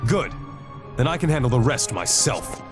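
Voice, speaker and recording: A man playing a stalwart, self-assured, powerful superhero in a video game, calm and determined. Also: medium pitch, normal speed, over loud music, natural voice.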